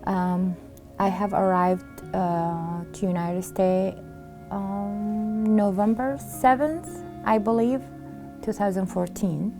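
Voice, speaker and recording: A middle-aged woman with an Iraqi Arabic accent telling her own story in an interview, halting, with many fillers and pauses. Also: mid-range voice, fairly even intonation, over music. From Iraq. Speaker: refugee sharing her story. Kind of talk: interview testimony.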